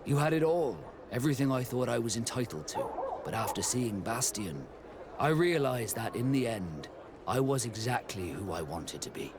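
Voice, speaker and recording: An actor playing a proud, hot-headed sea captain in a video game. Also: male voice, mid-range voice, lively intonation, moderate pace, natural voice. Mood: reflective. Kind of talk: video game cutscene acting. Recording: clear background ambience noise.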